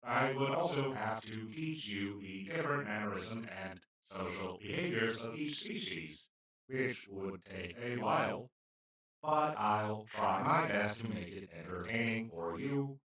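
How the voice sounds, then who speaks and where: Heavy echo surrounds a kindly, well-meaning male alien, acted by a man, heard a little way off from the mic. His voice is bright and forward. He talks to the listener like a careful, awkward host in a comedy roleplay, earnest, formal and prone to rambling. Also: lowish voice, animated, unhurried. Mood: earnest, hopeful. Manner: gentle, earnest, apologetic, slightly formal and rambling. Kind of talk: scripted comedic audio roleplay, addressing the listener. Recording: roomy, echoing, distant-sounding voice.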